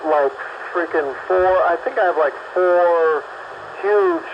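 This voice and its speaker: A man's voice: on the high side, steady tone, slow. A man calling in to a casual radio chat, rambling and hesitant with many restarts. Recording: dull lo-fi line with background noise.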